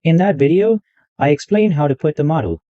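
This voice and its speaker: A man hosting a tech tutorial, walking through software step by step and repeating himself as he goes. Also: fairly high pitch, lively intonation, fairly quick, no room sound, muffled recording. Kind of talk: screen-recorded software walkthrough.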